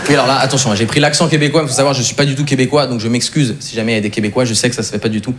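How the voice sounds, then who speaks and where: A young man from Switzerland telling self-mocking stories as an animated, conversational stand-up comedian on a live stage. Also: mid-range voice, racing, heavy background noise. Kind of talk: stand-up storytelling to a live audience.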